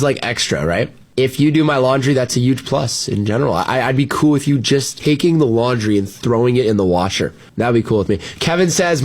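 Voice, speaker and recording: Man hosting casual talk radio. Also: medium pitch. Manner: conversational, informal asides. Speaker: radio host. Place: radio studio. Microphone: close broadcast studio mic.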